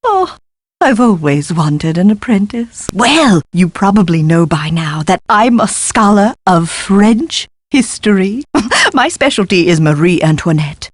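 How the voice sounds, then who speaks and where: An eccentric lady history scholar for an adventure game, recorded close and dry. Her pitch swoops about in a lively way as she gushes and fusses. The delivery is pompous and theatrical, with precise diction. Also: a little slow, no echo. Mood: effusive. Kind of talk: scripted video game dialogue.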